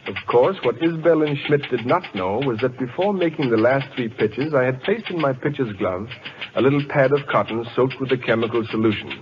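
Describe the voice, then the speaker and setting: An actor playing an earnest, cocksure college scientist in old radio drama style, precise and formal, stammering when flustered. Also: male voice, medium pitch, noisy background, natural voice. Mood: pleased, narrating. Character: earnest, cocksure male college scientist turned would-be pitcher. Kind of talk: 1940s-50s radio drama acting. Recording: dull lo-fi old radio broadcast.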